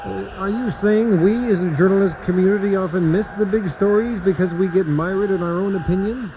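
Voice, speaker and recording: An actor playing a self-important, earnest network news anchor in a satirical radio play, with formal, insistent questioning. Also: male voice, high voice, fairly even intonation, a little fast, dull audio, background noise. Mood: earnest, probing. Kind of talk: satirical radio theater, mock news interview.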